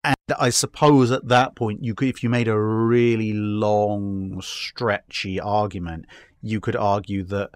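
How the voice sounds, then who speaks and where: A man's voice: on the low side, steady tone, unhurried, dry recording. A man in casual, discursive chat, talking with wry amusement. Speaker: podcast guest answering fan questions.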